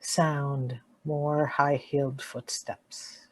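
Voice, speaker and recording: A woman reading out sound-effect cues for a radio play in deliberate, clipped stage-direction phrases. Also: low voice, level tone, dry recording, heavily acted, low-quality recording. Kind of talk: flat announced stage directions.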